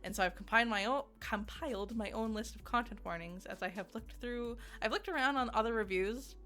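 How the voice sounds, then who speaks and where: A woman's voice: a little high, brisk, a bit bright. A woman giving a casual, chatty game review, rambling and interrupting herself, with a giggly manner. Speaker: video game reviewer and streamer. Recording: music under the voice. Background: background music.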